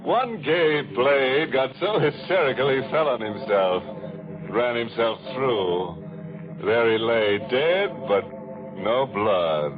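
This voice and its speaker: A man playing a gleefully morbid horror host on vintage radio, theatrical and sardonic with drawn-out phrasing. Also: mid-range voice, animated. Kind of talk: 1940s radio horror hosting. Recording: dull vintage radio recording with music.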